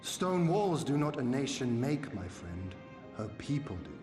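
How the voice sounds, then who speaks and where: A resolute lord in a reverberant hall. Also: male voice, mid-range voice, big pitch swings. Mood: solemn, resolute. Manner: formal, commanding, deliberate. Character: resolute young male lord rallying his forces. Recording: roomy, long reverb, clear music behind.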